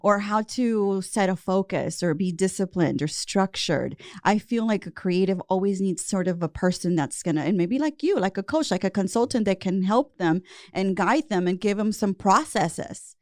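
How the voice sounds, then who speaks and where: A woman's voice: medium pitch, expressive, normal speed, few pauses, dry recording, clean studio sound. A woman hosting a podcast, interviewing a guest and backing him up with frequent affirmations. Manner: warm affirming listener, frequent mm-hmms. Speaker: podcast host.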